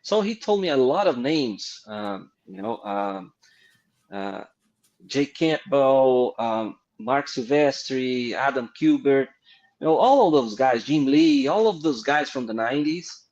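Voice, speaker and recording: A middle-aged man from Brazil with a Brazilian accent, a guest artist telling animated, laughing anecdotes in an interview. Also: mid-range voice, measured pace, dull audio. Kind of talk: casual storytelling interview.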